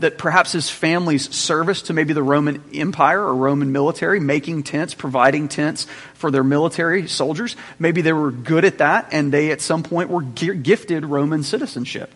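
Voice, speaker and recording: A man's voice: a little high, fairly bright tone. Man teaching Bible in a reverberant hall. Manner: conversational, checks in with the listeners.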